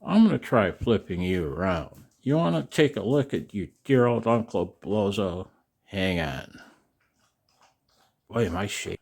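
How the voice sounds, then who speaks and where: An older man talking casually and in a rambling way to his viewers as a video host, folksy and halting, with a gravelly voice. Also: on the low side, expressive, darkish tone. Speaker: amateur radio operator and video host. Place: outdoors in the cold.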